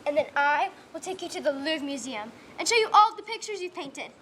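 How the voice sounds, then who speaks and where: Very high-pitched girl, acted by a woman, earnest, tender, stage monologue, faint background noise. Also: big pitch swings, a little fast, bright, forceful tone, heavily acted. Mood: tender, dreamy.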